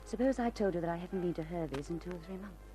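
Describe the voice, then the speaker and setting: A woman's voice: on the low side, racing, natural voice. Woman acting coy, flirty radio crime dame. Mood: coy, wary. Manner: coy, teasing. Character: flirty, suspicious, tipsy underworld dame. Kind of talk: old-time radio crime drama acting. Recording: old radio recording with music.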